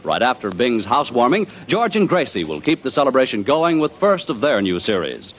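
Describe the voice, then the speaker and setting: A man's voice: medium pitch, sing-song, a little fast, fairly bright tone. A man announcing in 1940s radio style, delivering a promotional read. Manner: smooth, promotional. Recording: old radio broadcast with music.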